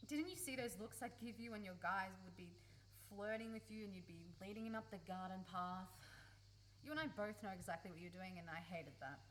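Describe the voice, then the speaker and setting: A woman's voice: medium pitch, a little fast, natural voice, rough recording. A woman acting in a radio play as a blunt, emotional young woman, hurt and in love with her best friend. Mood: hurt, sardonic. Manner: emotional, pleading, sweary, blunt. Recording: faint background noise, live recording.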